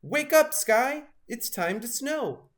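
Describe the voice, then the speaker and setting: A man's voice: extremely high pitch, lively intonation, takes it slowly, a bit bright, pitched above the performer's own voice, full character voice. A man voicing an impatient, plaintive badger in a children's storybook. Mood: loud, demanding. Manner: groaning, plaintive. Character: impatient, grumbling male badger longing for snow.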